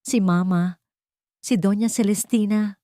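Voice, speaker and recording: A woman voicing a deceitful estranged husband, pleading softly and dramatically in a story. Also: mid-range voice, steady tone, measured pace, dry recording, studio quality, pitched above the performer's own voice, close to an everyday voice. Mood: tearful begging. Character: pleading, deceitful estranged husband begging to see his son.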